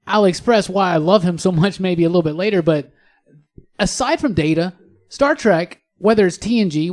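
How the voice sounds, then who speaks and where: A man's voice: sits high, a little mellow. A man co-hosting a podcast in casual pop culture chat, talking with a fan's enthusiasm and emphatic words. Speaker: podcast co-host.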